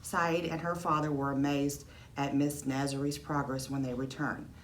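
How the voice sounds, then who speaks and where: Female teacher as storybook narrator, low pitch, amateur recording with noticeable background noise. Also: level tone, moderate pace. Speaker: teacher reading aloud.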